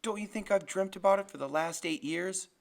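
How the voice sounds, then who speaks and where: Older woman, fiercely stubborn and tearful, big emotional high voice for an audiobook, performed by a man. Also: brisk, vocal fry. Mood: fearful pleading. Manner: loud, emotional protesting. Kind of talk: audiobook character voice.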